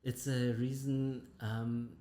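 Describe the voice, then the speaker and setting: A man hosting an interview, asking questions in a halting way, repeating words as he goes. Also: little pitch movement, dark tone. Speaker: doctor hosting an interview show. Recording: roomy echo with faint rumble.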